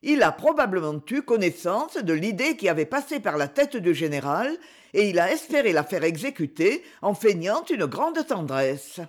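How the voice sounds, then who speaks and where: A woman reading aloud and voicing a calm, level-headed man who speaks in a measured way. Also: mid-range voice.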